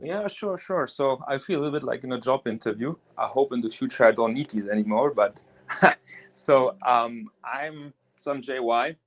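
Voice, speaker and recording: A man's voice: mid-range voice, normal speed. A man whose native languages are German and French, speaking English with that accent as a guest, careful and a little hesitant. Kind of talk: casual interview talk.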